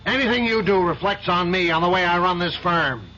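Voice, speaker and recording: An actor in a 1970s radio drama playing an indignant law firm boss who blusters and scolds. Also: male voice, high voice, a little fast, a crowd in the background, natural voice. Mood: angry, commanding. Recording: dull, noisy 1970s radio broadcast.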